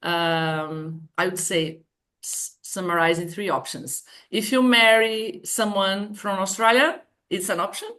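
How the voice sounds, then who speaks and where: A woman's voice: mid-range voice, fairly even intonation, measured pace, a bit bright. Brazilian woman in her fifties. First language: Portuguese. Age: 50s. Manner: hesitant, explains step by step. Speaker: guest. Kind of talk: casual online interview.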